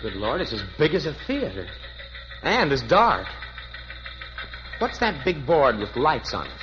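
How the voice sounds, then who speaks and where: A man's voice: medium pitch, huge pitch swings, measured pace. An actor playing an earnest, awestruck male time traveler in a 1950s radio drama, hesitant and stammering when shaken. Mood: curious, awed. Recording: dull, muffled old radio recording.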